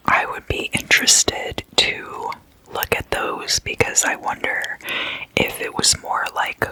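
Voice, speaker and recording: An ASMR host speaking in a breathy whisper, explaining things casually and haltingly in short phrases. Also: bright, forceful tone, no echo, rough recording.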